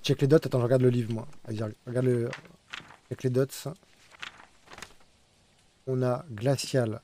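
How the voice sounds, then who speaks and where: Warm-voiced man streaming a game. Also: medium pitch, fairly even intonation, measured pace. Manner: urgent, repetitive, directing teammates. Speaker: streamer playing a ghost-hunting game. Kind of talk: live gaming commentary.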